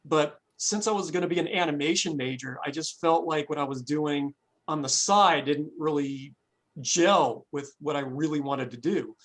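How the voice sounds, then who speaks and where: A man of forty, a guest in a casual interview, talking in a relaxed, rambling way and laughing easily. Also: fairly high pitch, normal speed. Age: 40s. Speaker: guest, animator. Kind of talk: casual chat.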